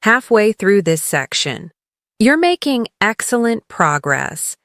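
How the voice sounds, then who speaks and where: A woman leading a scripted English lesson as a teacher, encouraging the learner and clearly enunciating model phrases for repetition. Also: medium pitch, very animated, slow, a bit bright, no room sound, studio quality. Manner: encouraging coaching.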